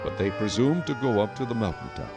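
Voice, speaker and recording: Casting: male narrator, low register, solemn measured scripture reading, clear music bed. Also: big pitch swings.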